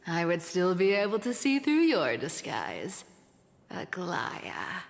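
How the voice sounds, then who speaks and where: A sly game trickster woman with a wounded edge. Her delivery is animated and slow, slipping into hushed asides, with a long reverb around it. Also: on the low side, heavily acted. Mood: teasing, defiant. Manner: teasing, hushed, breathy asides. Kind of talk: video game voice acting.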